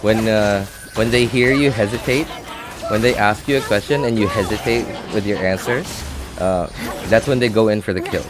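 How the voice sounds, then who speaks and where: A man's voice: fairly low pitch, normal speed, over music. A man hosting a live game stream, talking casually and thinking aloud as he answers viewers, often repeating his phrases.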